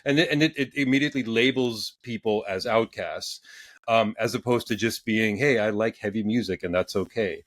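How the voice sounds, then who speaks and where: A man in his forties hosting a casual podcast interview, talking in halting bursts with many restarts and repeated words. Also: lowish voice, a bit bright, no echo. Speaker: podcast host.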